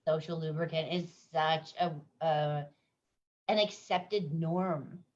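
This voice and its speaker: A woman's voice: deep voice, fairly even intonation, slow, dull audio. A woman host from Canada asking questions and responding in an affirming, thoughtful way. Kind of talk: casual interview.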